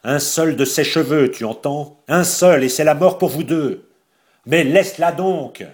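Man voicing a desperate young gentleman thief. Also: a little high, measured pace, voice pushed up, lightly acted. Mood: enraged. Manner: pleading, then shouting in rage.

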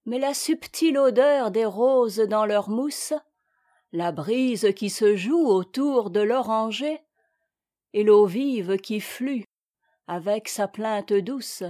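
A woman's voice: mid-range voice, takes it slowly, warm tone, no room sound, studio quality. A woman reciting poetry as a volunteer reader.

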